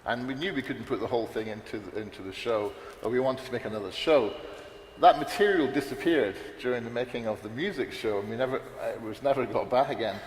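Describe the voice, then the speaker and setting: A man's voice: mid-range voice, fast. Rambling man speaking in an echoey hall. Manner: rambling, many restarts and fillers. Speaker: panelist at a writers' conference. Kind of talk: unscripted panel discussion. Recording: roomy hall reverb, faint background noise.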